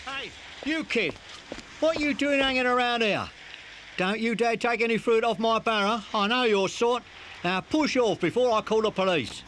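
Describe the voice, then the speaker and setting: An actor playing a gruff, suspicious street fruit seller in a children's audio drama, hectoring and colloquial. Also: male voice, very high voice, normal speed, a bit bright. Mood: suspicious, threatening. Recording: faint background noise, cassette audio drama.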